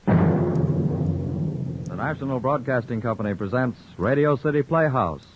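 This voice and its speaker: A male radio announcer giving formal, measured broadcast credits and introductions. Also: medium pitch, expressive, heavy background noise. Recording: dull old radio broadcast with music.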